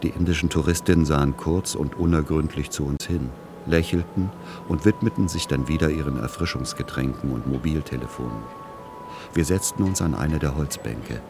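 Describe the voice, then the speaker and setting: A man playing a world-weary writer who narrates as a son in a literary radio play, measured and dry. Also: a bass voice.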